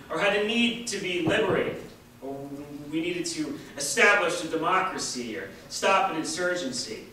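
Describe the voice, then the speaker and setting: A man giving a deliberate, emphatic reading of a written political essay at a public event. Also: a little high, fairly bright tone. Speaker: reader at a staged public reading. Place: large indoor hall at a public event. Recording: echoey hall from far off, faint noise.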